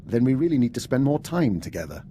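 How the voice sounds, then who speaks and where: A man's voice: mid-range voice, sing-song, quick pace, dark tone, a little hiss or hum, lightly acted. A man playing an urbane intelligence operative in a video game, with a polished, dryly witty manner. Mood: wry.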